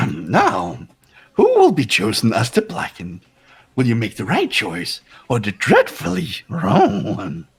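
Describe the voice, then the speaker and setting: Gleeful evil game mascot, raspy n taunting, sing-song, voice flying all over the place. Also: male voice, medium pitch, huge pitch swings, slow, full character voice. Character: gleefully sadistic mascot villain, playful and mocking. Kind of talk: hobby voiced game playthrough.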